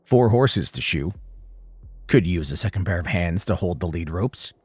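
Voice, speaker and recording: A man voicing a hard-eyed farrier, late forties, low voice, curt, guarded, horror story dialogue, close dry sound. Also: expressive, normal speed, darkish tone, breathy edge, no echo.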